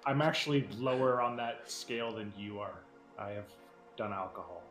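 A man's voice: fairly low pitch, normal speed, fairly bright tone, roomy sound, faint music behind. A man co-hosting a podcast, bantering dryly in casual chat. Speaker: podcast co-host.

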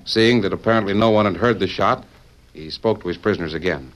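A man's voice: low-pitched, fast, rough recording. A man narrating an old radio Western as its announcer, in scripted storytelling style. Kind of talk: 1940s radio drama narration.

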